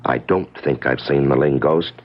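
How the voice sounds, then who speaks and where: An actor playing an uneasy, placating husband with a husky voice and halting delivery in an old-time radio mystery. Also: male voice, rumbling low, fairly even intonation. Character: evasive, placating husband, an engineer, affectionate but uneasy. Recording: dull vintage radio recording.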